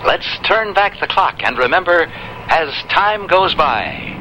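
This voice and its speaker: An actor playing a nostalgic radio disc jockey, introducing oldies in smooth scripted patter. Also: male voice, high-pitched, animated, a little slow. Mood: breezy nostalgia. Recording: noisy old radio sound.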